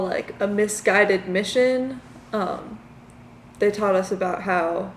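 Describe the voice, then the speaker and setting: A young woman from Virginia gives measured interview answers. Her voice has a gravelly fry. The recording is roomy, with faint noise behind. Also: medium pitch. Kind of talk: casual street interview.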